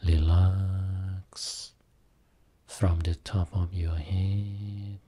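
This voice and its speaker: A man guiding a Buddhist meditation as a teacher, speaking soothingly in short phrases with long pauses between them. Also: sits low, little pitch movement, mellow. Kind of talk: guided meditation.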